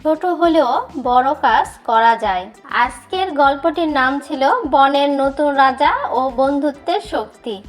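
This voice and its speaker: High-pitched, slow-speaking woman narrator. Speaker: cartoon storyteller. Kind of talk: scripted children's story narration. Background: background music.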